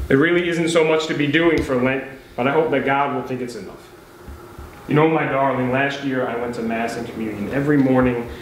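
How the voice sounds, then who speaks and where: A man at a poetry night reads a letter aloud in an easy, conversational way. His middle-pitched voice rings through a very reverberant room. Faint ambient noise sits behind him. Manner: reads with offhand chatty asides. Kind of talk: reading a letter aloud to a live audience. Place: live poetry event in a beach house venue.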